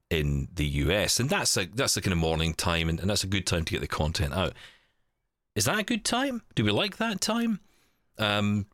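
A man with a very low voice that moves around a lot in pitch. He hosts a casual podcast, rambling and doubling back on words in a jokey, teasing way. The recording is close and dry. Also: fairly bright tone, no room sound. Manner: rambling, repeats words to get going. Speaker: podcast host. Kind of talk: casual chat.

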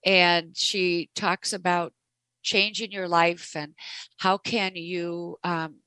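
Woman radio host, middle-aged, lowish voice, dry close studio sound, unhurried with fillers. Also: level tone, pushed and bright, clean tone, dry recording, rough recording. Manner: unhurried, many fillers and restarts. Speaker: radio show host and wealth advisor. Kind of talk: radio interview introduction.

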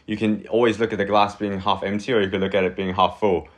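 A man's voice: lowish voice, quick pace. A man in his thirties from Hong Kong, a guest chatting casually in a loose, rambling way full of fillers. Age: 30s. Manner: relaxed, rambling, many fillers like "like" and "you know". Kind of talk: casual chat.

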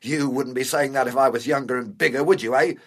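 An actor playing a fussy, easily wounded older washed-up performer, speaking in prim, pedantic phrases with dry understatement. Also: male voice, mid-range voice, steady tone, fast talker, natural voice. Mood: indignant. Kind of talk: naturalistic dark comedy dialogue.

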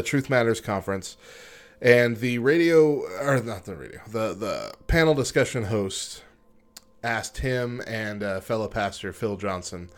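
A lower-pitched man co-hosting a talk radio show in a studio. He chats casually and reads news items, often stopping for fillers and restarts, and laughs easily.

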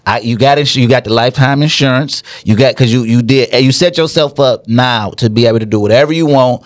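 A man's voice: mid-range voice, a little fast. Earnest forty-year-old man co-hosting. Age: 40s. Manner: earnest, repeats himself while working through a thought. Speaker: podcast co-host. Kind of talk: casual podcast chat.

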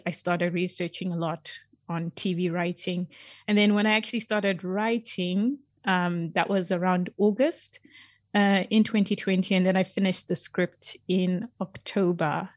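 A woman's voice: fairly low pitch, fairly even intonation, measured pace, no echo. A woman chatting casually as an interview guest about her work as a podcast writer, in an informal, upbeat way.

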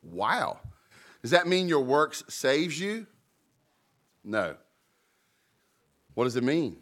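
A man's voice: medium pitch, big pitch swings, slow, a bit bright. A man preaching a sermon with emphasis, calling out to the congregation and joking about his own mumbling. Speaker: pastor.

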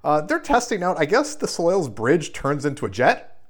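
A man's voice: on the high side, huge pitch swings, normal speed, husky. A man co-hosting a podcast, casually recapping episodes with lots of ums and loose asides. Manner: loose, filler-heavy recapping. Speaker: podcast co-host.

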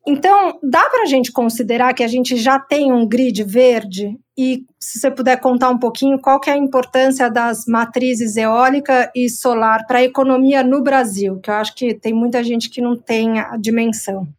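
A woman with a Brazilian accent speaks in a slightly high voice. She co-hosts a podcast, putting questions to a guest in casual, conversational interview style. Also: normal speed, hardly any pauses. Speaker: podcast co-host.

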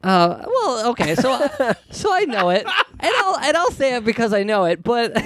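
A man with a squeaky voice co-hosting a casual podcast chat, laughing often and sounding politely reluctant. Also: sing-song, normal speed, fairly bright tone, no echo. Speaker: podcast co-host.

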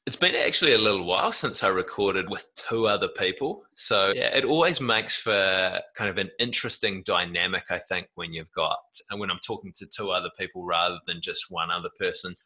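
A man's voice: deep voice, fairly quick, few pauses, bright, forceful tone, slightly rough. A man hosting a podcast, talking in an easygoing, rambling way as he introduces his guests. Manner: easygoing, rambling with small restarts. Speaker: podcast host. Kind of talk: relaxed podcast interview.

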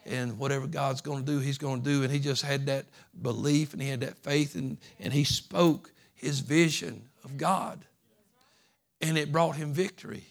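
A man preaching a sermon as pastor, folksy and repetitive, building from soft talk to loud fervent preaching. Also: medium pitch, steady tone, normal speed, vocal fry. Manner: folksy, repeats phrases.

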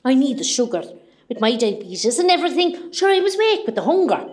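Chatty, dramatic West Cork woman patient played by an actress, very high, swooping delivery, muddles her words, radio comedy. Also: sing-song, a little fast, a little mellow. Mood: gossipy, exclaiming. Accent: West Cork Irish. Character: nervy, cheeky, gossipy Irish woman patient, hungry smoker. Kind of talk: amateur comedy radio play acting.

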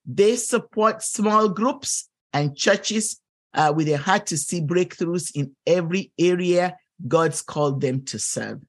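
A woman's voice: lowish voice, expressive, takes it slowly. A woman hosting a faith podcast, introducing a guest and asking questions with plenty of fillers and encouraging "mm-hmm"s. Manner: gracious, many fillers and affirming "mm-hmm"s. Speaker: podcast host. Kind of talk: interview hosting.

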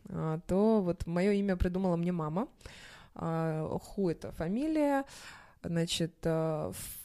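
Female interview guest, native Chinese and Russian speaker raised in Russia. Relaxed, dark tone, slightly low pitch, conversational.

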